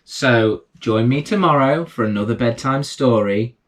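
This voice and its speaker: A man hosting and reading children's bedtime stories in a gentle, reassuring manner. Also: medium pitch, a little slow. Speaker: bedtime story reader and host. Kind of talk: storytelling read aloud for children.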